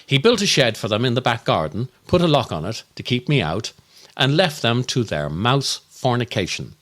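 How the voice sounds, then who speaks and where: A man delivering a scripted comic monologue as a storyteller, with dramatic, deliberate and darkly comic delivery. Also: mid-range voice, sing-song, fairly bright tone. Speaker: comedian and podcast host.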